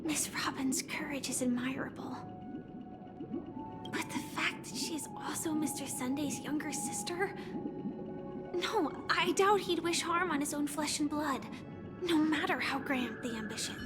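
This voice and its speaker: A woman's voice: sits high, a little slow. An actress playing a polite, composed young woman in a video game who questions others in a formal, skeptical way. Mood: composed doubt. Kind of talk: dramatic game voice acting. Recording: studio voice under loud music. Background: loud game music.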